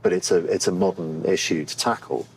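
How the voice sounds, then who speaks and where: A man speaking as an actor in a candid interview, thoughtful and often pausing to find his words. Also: low voice, steady tone, darkish tone, rough recording. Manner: thoughtful and halting, searching for words. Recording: clear background room noise.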